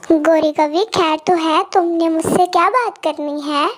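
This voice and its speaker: A woman voicing a gentle, long-suffering mother parrot in a children's moral story, with a polite, apologetic manner. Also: extremely high pitch, steady tone, measured pace, voice pushed up. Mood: polite, anxious.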